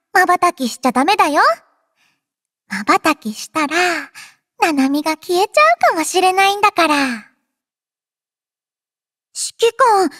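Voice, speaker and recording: An affectionate, mischievous little robot girl from an anime game. Her voice is extremely high and childlike, swinging widely in pitch. She sounds cutesy and teasing, recorded very dry. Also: a bit bright, no echo, exaggerated voice. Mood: pouty, sleepy. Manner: cutesy, refers to herself in third person, teasing. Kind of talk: anime game character voice lines.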